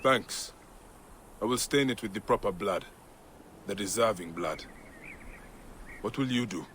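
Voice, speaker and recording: An actor playing a grave, determined Egyptian warrior in a video game, measured and stern in manner. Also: male voice, on the low side, fairly bright tone, a little hiss or hum. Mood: solemn.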